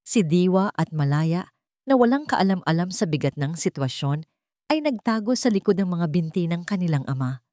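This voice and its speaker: A woman reading a scripted dramatic story as narrator, with measured, deliberate phrasing. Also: lowish voice, animated, dark tone, no room sound, clean studio sound.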